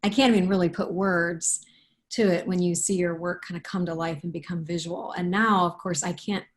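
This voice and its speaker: A woman speaking as an author in a casual interview, thoughtful and halting, often restarting and rewording her sentences. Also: on the low side, fairly even intonation. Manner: halting, thoughtful, with repeated self-corrections. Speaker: picture book author being interviewed.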